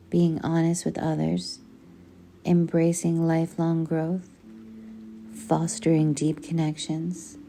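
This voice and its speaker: A woman reads aloud in a soothing audiobook style. Her voice is on the low side and very unhurried, with gentle, deliberate phrasing. Music plays clearly behind her. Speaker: book reader. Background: background music.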